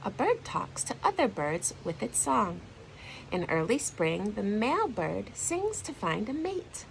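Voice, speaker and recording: A woman reading a children's storybook aloud like a teacher, in an expressive, deliberate storytelling manner. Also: medium pitch, creaky, slight background noise, rough recording.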